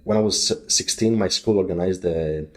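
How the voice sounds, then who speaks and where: A man with a Greek accent and a fairly low voice talks as a guest on a casual podcast. He speaks haltingly, with many fillers and restarts. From Greece. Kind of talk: casual interview chat.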